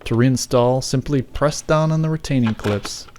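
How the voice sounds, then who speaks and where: A man's voice: medium pitch, moderate pace, a little mellow. A man reading scripted how-to narration in a step-by-step tutorial, as its narrator. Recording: clear background noise.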